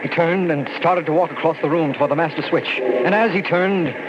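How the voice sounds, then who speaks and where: An actor playing a haunted, frightened lab assistant who narrates an old-time radio drama in a halting, dramatic way. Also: male voice, on the high side, very noisy, lightly acted. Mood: horrified. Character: haunted young male lab assistant recalling horrors, frightened. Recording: dull vintage radio recording under loud music.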